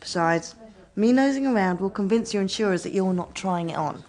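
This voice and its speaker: A woman's voice: medium pitch, animated, a little fast, a little mellow, natural voice. An English actress playing a tough, sardonic female private investigator in a radio drama, with dry delivery. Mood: wry, probing. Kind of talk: radio drama acting with first-person narration.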